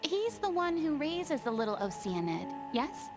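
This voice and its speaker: Casting: gracious young theatrical lady for anime game, very high voice, polite and articulate. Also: very animated, measured pace. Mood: thoughtful. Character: poised, gracious young female performer and theatre consultant. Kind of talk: anime-style game voice acting. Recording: music under the voice.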